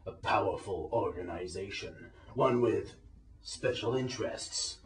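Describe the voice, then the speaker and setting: A man playing a mysterious, sinister agent with portentous pauses in a comic radio play. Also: lowish voice. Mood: sinister, secretive. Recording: echoey room, distant microphone.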